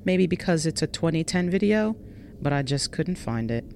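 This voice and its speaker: A woman reads a scripted first-person scary story in a measured, dramatic way, drawing out some phrases. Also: sits low, expressive, a little mellow, a little hiss or hum. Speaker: narrator reading a listener's scary true story.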